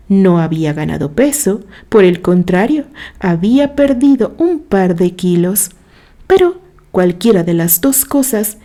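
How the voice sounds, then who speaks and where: A woman reading a literary story aloud in a dramatic, expressive way, with measured phrases and pauses. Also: lowish voice. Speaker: narrator reading a written story.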